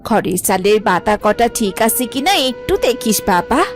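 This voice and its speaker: A woman's voice: medium pitch, expressive, normal speed, faint music behind, lightly acted. A woman playing an anxious village mother, swooping voice. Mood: worried, tender. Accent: rural Bangladeshi. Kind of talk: dramatised Bengali story acting.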